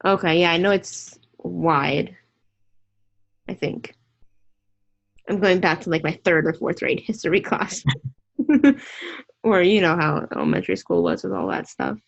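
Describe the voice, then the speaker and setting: A woman's voice: on the low side, expressive, measured pace, creaky, pauses often, lo-fi sound. A woman hosting a casual online interview, chatty and opinionated, rambling with restarts.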